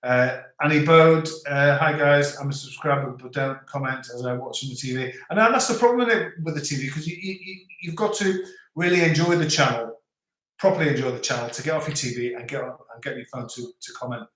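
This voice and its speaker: A man's voice: fairly high pitch, a little fast, a bit bright. Live-stream host, man, toned-down Scouse accent, loose rambling chat, in an echoey room. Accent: softened Scouse. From Liverpool, England. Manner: chatty, rambling, stops and restarts. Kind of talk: casual live chat reading out viewer comments. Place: home in the French countryside. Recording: roomy echo.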